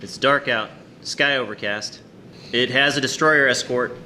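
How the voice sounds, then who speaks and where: An actor playing a stern, formal submarine captain who speaks curtly in a wartime naval drama. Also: male voice, mid-range voice, a little slow, sharp tone. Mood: tense. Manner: curt, formal, commanding. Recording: faint background noise.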